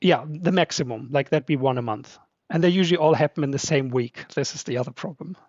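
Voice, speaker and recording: A man's voice: on the high side, fast talker, dry recording, highs cut off. A podcast guest who is a man, English not his first language, talking casually and chattily with many fillers. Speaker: podcast guest, translator and publisher. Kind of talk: casual conversation.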